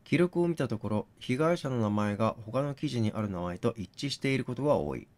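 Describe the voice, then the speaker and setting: A man doing read-aloud game commentary, musing and deliberating as he thinks out loud. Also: mid-range voice, expressive, fairly quick. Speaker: gaming channel host.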